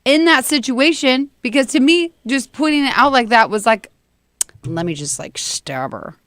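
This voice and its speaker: A woman with a husky voice co-hosting a morning radio show, chatting animatedly and laughing often. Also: high-pitched, expressive, moderate pace, a bit bright, no echo, studio quality. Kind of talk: casual morning radio banter. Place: radio studio.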